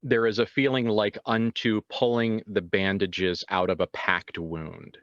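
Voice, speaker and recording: A man improvising horror narration as a tabletop game master, halting and informal as he searches for words. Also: lowish voice, flat delivery, fairly bright tone, no room sound, dull audio.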